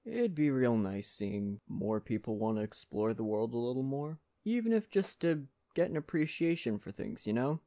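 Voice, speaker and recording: Man playing a gentle lumberjack with some creak. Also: mid-range voice, expressive, natural voice. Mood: warm, modest. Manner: gentle, folksy, reassuring, unhurried. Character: friendly Canadian lumberjack, gentle nature-loving father. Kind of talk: ASMR roleplay, soft-spoken monologue to the listener.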